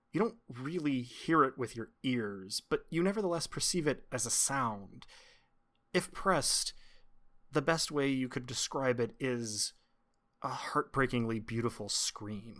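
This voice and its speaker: Guy running a tabletop rpg, mid voice, measured descriptive narration with pauses. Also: medium pitch, fairly bright tone. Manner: measured, literary phrasing with deliberate pauses. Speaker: game master.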